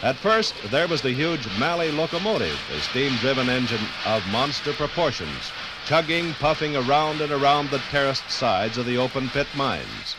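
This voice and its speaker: A man narrating a scripted 1950s radio documentary in a formal, dramatic, measured way. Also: medium pitch, very animated, very noisy.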